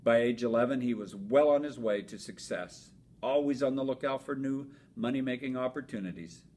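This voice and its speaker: A man who teaches elementary school reads a children's storybook aloud in an expressive, emphatic way. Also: moderate pace. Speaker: fifth grade teacher reading aloud. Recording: noisy with low rumble.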